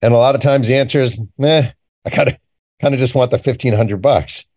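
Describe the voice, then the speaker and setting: A man's voice: mid-range voice, a little fast, a little mellow. A man speaking as an interview guest, telling stories casually and informally, often quoting bits of remembered conversation. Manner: informal, acts out remembered dialogue plainly. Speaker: guest, journalist and author.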